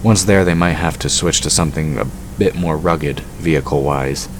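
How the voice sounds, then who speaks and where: A man's voice: extremely low pitch, moderate pace, a little hiss or hum. A man reading scripted narration for a fantasy audio drama. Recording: music under the voice. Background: music.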